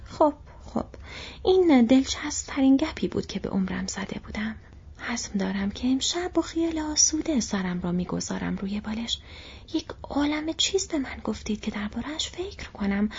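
Fussy old innkeeper, rambling and flustered, read by a woman, husky and raised, muffled lo-fi audio. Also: high voice, big pitch swings, darkish tone, pitched above the performer's own voice, exaggerated voice. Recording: dull lo-fi recording.

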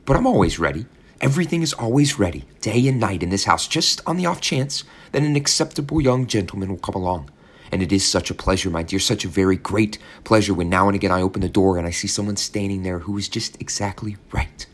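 A man plays an overly sweet landlady who fusses and coos over her young guest. The voice sits well above the reader's own low register and comes a touch louder. It has a storybook-reading feel. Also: animated, fast talker. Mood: cooing. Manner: fussy, cooing and gushing. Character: overly sweet, slightly odd older landlady.

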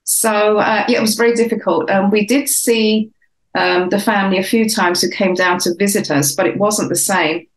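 A woman's voice: mid-range voice, fairly even intonation, moderate pace. Older English woman in an echoey room. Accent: English, softened London. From England. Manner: reflective, rambling with many restarts. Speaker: author and guest. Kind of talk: casual interview chat. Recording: roomy echo.

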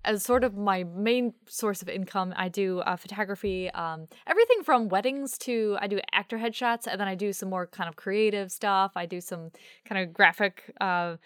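A woman's voice: mid-range voice, moderate pace, fairly bright tone, no room sound, studio quality. A woman chatting casually and enthusiastically as an interview guest. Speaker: interview guest, audio drama creator.

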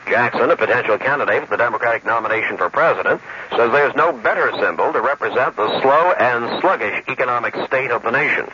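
A man's voice: mid-range voice, bright, forceful tone. A man with an American accent giving a scripted news report as a radio reporter. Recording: noisy, dull vintage radio field report.

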